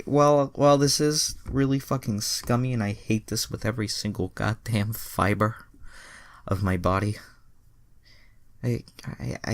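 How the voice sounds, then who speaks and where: Very low-voiced, gravelly man reading aloud. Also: no echo. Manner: exasperated, sweary commentary on the text. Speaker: reader of fan fiction. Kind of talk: dramatic reading with casual profane asides.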